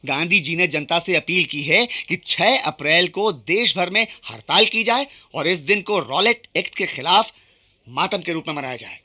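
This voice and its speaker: A man's voice: a little high, expressive, normal speed, pushed and bright, exaggerated voice. An actor playing a shrewd, indignant Indian townsman in a radio drama, explaining things in a folksy, emphatic way. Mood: knowing, indignant.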